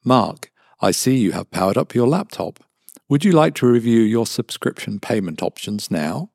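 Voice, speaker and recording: A man voicing a smart-speaker AI that speaks in a flat, measured, politely menacing way. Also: mid-range voice, vocal fry, dry recording, clean studio sound. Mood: cold, polite. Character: cold watchful smart-speaker AI, male.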